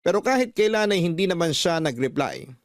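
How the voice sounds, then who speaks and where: Higher-voiced man narrating, dry sound. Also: big pitch swings, brisk, no echo. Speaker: radio storyteller. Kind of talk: scripted first-person story narration.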